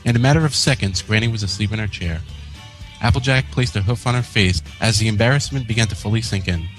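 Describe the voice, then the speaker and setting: A man narrates comic fan fiction as a co-host. His pitch sits on the low side, with faint music in the background. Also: fast. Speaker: co-host and story reader.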